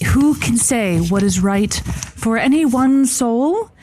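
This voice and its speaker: A woman playing a gentle, bemused radio advice host in improvised comedy, asking careful questions with hesitant pauses. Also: fairly high pitch, expressive, slight background noise. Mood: bemused, tactful. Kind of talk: improvised comedy audio drama.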